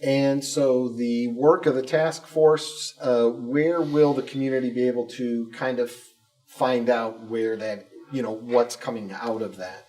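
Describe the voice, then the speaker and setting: A man's voice: mid-range voice, steady tone, measured pace, warm tone, far from the mic, soft background music. A man casually hosting an interview show as a school communications director.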